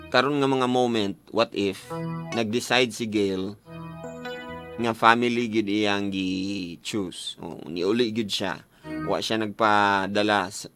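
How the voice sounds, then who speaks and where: A man with a Visayan Filipino accent hosting a casual radio advice show, chatty and playful, mixing in English. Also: medium pitch. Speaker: radio host. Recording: loud music bed behind the voice. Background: music with guitar and keyboard.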